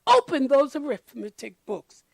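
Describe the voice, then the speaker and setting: A woman's voice: high-pitched, very animated, moderate pace, gravelly, no echo, exaggerated voice. A woman voicing a mean, strict, witchlike substitute teacher in a storybook, stern and commanding. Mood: snapping, stern.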